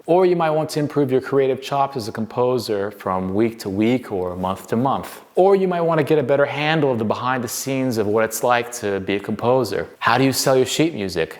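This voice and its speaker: A man of middle pitch reads a scripted promo for his own lessons. His delivery is direct and steady, like a practiced sales pitch. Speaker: channel host.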